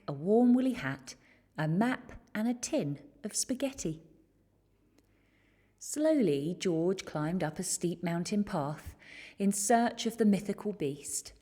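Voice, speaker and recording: Female children's storyteller, lowish and slightly husky, expressive, taking her time over picture-book narration. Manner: expressive, measured.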